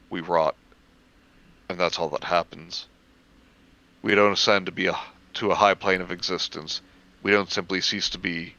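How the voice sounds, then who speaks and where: A man reading a first-person horror story aloud in measured narration, stumbling over the occasional word. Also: deep voice, sharp tone, muffled recording.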